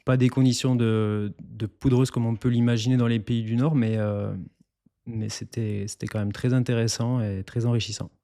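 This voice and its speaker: A mountain guide from eastern France, answering interview questions in a calm, explanatory way with some hesitations. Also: male voice, medium pitch, moderate pace. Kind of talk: interview guest.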